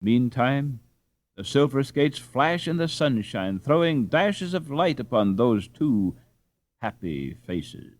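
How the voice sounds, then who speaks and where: A man reading a classic children's story aloud as an audiobook narrator, with expressive, dramatic delivery. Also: medium pitch, slow, no room sound. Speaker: audiobook reader.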